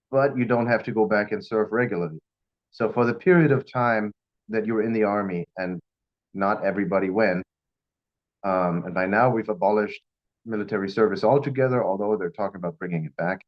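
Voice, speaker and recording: A man's voice: lowish voice, lo-fi sound. A middle-aged man from Germany with a German accent, giving an informal personal talk as a guest speaker. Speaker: guest speaker, firearms instructor.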